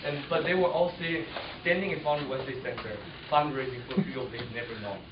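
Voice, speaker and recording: A young man, a student volunteer, gives an earnest, loud talk to an audience, often restarting his sentences. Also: mid-range voice, quick pace, crowd noise. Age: young adult. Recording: distant, muffled, echoey hall recording with noise.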